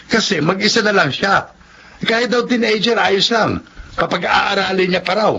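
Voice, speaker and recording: A man's voice: high voice, normal speed, creaky, pushed and bright, full character voice. A middle-aged man playing a hearty, persuasive village drinking buddy in a radio drama. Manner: hearty, coaxing. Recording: muffled, faintly noisy drama audio.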